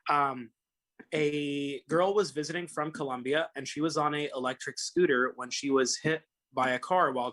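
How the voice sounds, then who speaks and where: A man's voice: mid-range voice, a bit bright. A young man from Los Angeles, a personal injury attorney, talking casually as a guest with lots of fillers and restarts. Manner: rambling, many fillers and restarts. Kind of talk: casual call-in talk.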